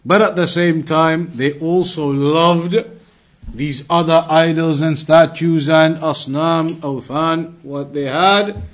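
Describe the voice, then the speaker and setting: A man's voice: on the high side, steady tone, slow pace. A man giving a religious class lecture as a teacher, speaking emphatically with repetition and rhetorical questions. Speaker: Islamic studies teacher.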